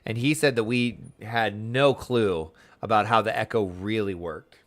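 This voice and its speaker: A man's voice: fairly low pitch, fairly even intonation, unhurried, fairly bright tone. A man chatting casually on a livestream, commenting on the game he plays and talking to his viewers. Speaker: livestreamer playing an online game.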